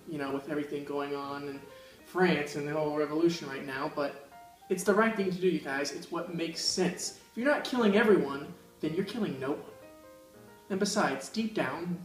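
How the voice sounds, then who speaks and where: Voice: medium pitch, normal speed, far from the mic, soft background music, close to an everyday voice. A young performer playing a smug, self-assured male writer, chatting casually in an amateur school video. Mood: persuasive. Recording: echoey room.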